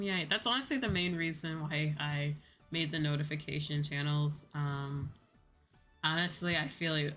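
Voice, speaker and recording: Low-pitched woman, chatting over music. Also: fairly bright tone. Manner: rambling, conversational, casual swearing. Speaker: VTuber livestreamer. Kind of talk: casual chat with livestream viewers. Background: background music.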